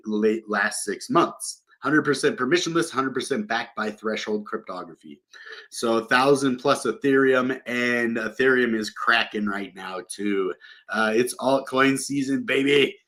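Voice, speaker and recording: A man's voice: mid-range voice, a bit bright. A young man with a cold, casually talking through crypto market news as a channel host, with lots of ums and uhs. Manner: rambling, many ums and uhs. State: sick with a cold.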